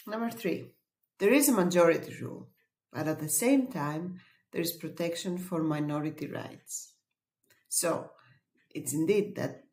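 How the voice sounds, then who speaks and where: Slow, low-voiced woman in an echoey room. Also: creaky, darkish tone. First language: Greek. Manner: measured and explanatory, pauses with fillers. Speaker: webinar presenter. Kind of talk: educational webinar talk.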